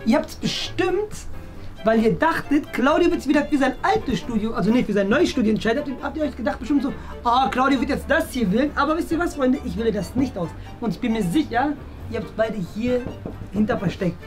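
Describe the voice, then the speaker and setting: A man's voice: very high-pitched, lively intonation, a little fast. A young man talking casually to camera as a game contestant in a small office, deliberating aloud in a mock-dramatic way. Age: young adult. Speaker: challenge contestant addressing viewers. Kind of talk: casual playful vlog talk. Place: small indoor office studio. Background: clear background music.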